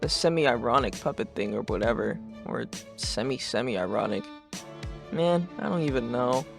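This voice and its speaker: A man's voice: mid-range voice, animated, normal speed, creaky. A man playing a laid-back, sarcastic, secretly uneasy teenage boy who talks in casual, sweary slang in a webcomic fan dub. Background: music.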